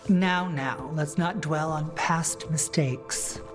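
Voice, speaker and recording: A worldly, wry noblewoman mage from a fantasy game. She speaks in a measured, teasing way over soft background music. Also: low-pitched. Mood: measured, grave. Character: poised, sly aristocratic mage rebel, worldly and wry.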